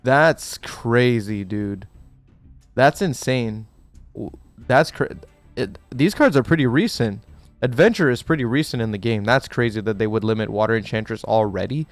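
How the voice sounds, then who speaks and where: A young man hosting a gaming channel, chatting in a rambling, excitable way while sick with a cough, with a mic set close to him. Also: mid-range voice, moderate pace, no room sound, faint music behind. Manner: excitable, rambling, swears casually. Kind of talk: casual reaction commentary. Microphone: desk mic set too close.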